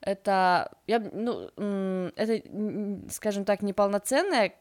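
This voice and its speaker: Female podcast co-host, mid-range voice, halting and informal. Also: mid-range voice. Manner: hesitant, many fillers and restarts, animated when quoting. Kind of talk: casual book discussion.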